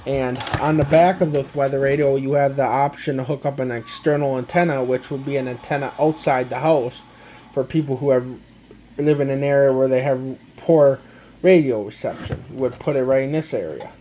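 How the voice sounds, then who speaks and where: A man gives a casual, halting, step-by-step product walkthrough, often repeating himself. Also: mid-range voice, steady tone, mellow, a little hiss or hum. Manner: halting, repetitive, step-by-step explaining. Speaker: amateur gadget demonstrator.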